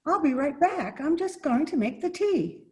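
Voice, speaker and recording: A woman playing a genteel former fiancée in 1940s radio comedy style, coy and gently chiding. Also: high-pitched, sing-song, moderate pace. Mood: hospitable.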